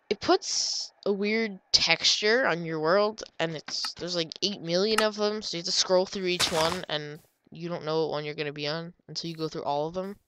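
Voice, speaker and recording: A woman's voice: lowish voice, sharp tone. A young woman with a stuffy, nasal voice from a cold, chatting casually while she plays a computer game. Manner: chatty, rambling, drifts into murmurs. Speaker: gamer. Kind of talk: casual gameplay commentary.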